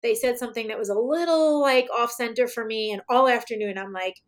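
A woman guest in a casual interview, her voice slightly raspy today, talking in a rambling way with many fillers and restarts. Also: fairly high pitch, fairly quick. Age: adult, mother of children.

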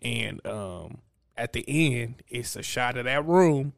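A man in his late twenties, a stand-up comic, chatting casually and profanely, with loose, animated riffing. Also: mid-range voice, slow pace, vocal fry. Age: 20s. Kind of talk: casual podcast chat.

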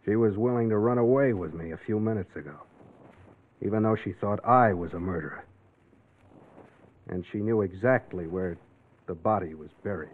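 A man's voice: fairly low pitch, moderate pace, long pauses, lightly acted. An actor playing a cool, wry newspaper reporter in his twenties in a classic radio crime drama. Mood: firm, accusing. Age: 20s. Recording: muffled, phone-like old radio recording.

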